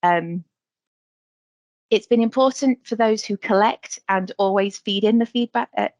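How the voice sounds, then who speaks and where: A woman presenting slides on a webinar video call, talking quickly with some fillers. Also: mid-range voice, darkish tone, dry recording. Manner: quick, slightly hurried delivery with fillers.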